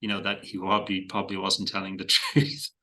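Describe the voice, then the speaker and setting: Bright-voiced Irish man, lowish pitch. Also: monotone, fast talker. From Ireland. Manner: thoughtful, repeats words while finding phrasing, light laughs. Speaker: investment guest. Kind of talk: casual interview chat.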